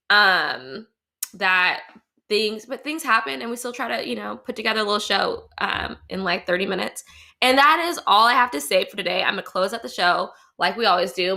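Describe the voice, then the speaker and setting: A woman's voice: fairly high pitch, gravelly, pushed and bright. A young woman hosting a casual, chatty online show in a playful, teasing, informal way. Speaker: talk show host. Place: remote livestream.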